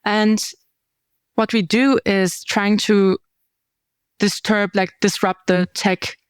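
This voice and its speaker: A woman's voice: medium pitch, fairly even intonation, slow, smooth, dry recording, studio quality. A woman speaking as a podcast guest, explaining her community and searching for words as she goes. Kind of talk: conversational podcast interview.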